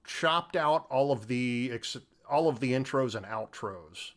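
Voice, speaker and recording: A man's voice: medium pitch, lively intonation, unhurried, fairly bright tone. Male co-host, middle-aged, mid-range voice. Casual chat with jokey, slangy emphasis. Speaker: podcast co-host.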